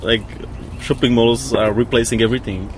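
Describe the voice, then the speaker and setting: A man's voice: mid-range voice, steady tone, moderate pace. A man who is not a native English speaker, giving a reflective interview outdoors and searching hesitantly for words. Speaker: interview guest. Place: outdoors on a river island. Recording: clearly noisy outdoor field recording.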